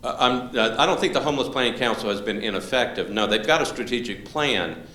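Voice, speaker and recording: A man's voice: mid-range voice, expressive, fast talker, pushed and bright. Man running a meeting in an echoey hall. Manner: informal, conversational, with frequent restarts. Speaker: meeting facilitator. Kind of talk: public meeting facilitation. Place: large room at a public library. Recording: echoey hall, faint room noise.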